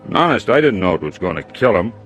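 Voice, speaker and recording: A sullen, slow-witted crook in a vintage radio crime drama. His voice sits low, and he talks in blunt, halting, ungrammatical bursts with a smug streak. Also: very animated, a bit bright, faint music behind. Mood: smug, resentful. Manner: blunt broken grammar, halting. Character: sullen, simple-minded male killer, smugly crafty.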